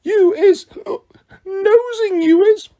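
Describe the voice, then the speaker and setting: A man voicing a tiny female house elf who is drunk and crying, with a squeaky, slurred, halting voice. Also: dark tone. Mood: tearful drunk. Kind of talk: audiobook character voice.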